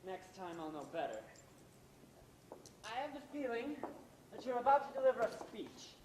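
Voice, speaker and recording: A man's voice: big pitch swings, normal speed. Man playing a sardonic scheming playwright, echoey stage. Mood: smug. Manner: theatrical, sardonic. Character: smug, scheming middle-aged playwright husband, coolly ruthless. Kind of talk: live stage acting. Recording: echoey amateur stage recording, background rumble.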